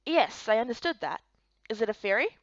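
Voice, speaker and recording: A woman's voice: a little high, very animated, fairly quick, no room sound, dull audio. A woman voicing a puzzled, polite young newcomer in a visual novel game. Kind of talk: voiced playthrough of fantasy visual novel.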